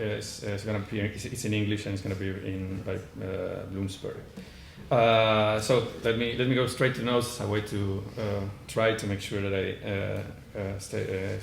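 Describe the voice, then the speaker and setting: A man with an Argentine accent delivers a philosophy paper at a conference, his voice sitting a little low. He reads from notes, hesitating often and restarting words. The recording carries the echo of a large room, with a faint hiss of noise underneath. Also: little pitch movement, moderate pace, creaky. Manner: hesitant, many "uh" fillers and restarts. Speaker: conference panelist. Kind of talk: academic lecture read from notes.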